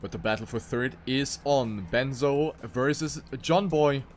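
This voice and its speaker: A man's voice: mid-range voice, measured pace, faint music behind. A man doing live esports commentary on a racing game, with dramatic, emphatic phrasing.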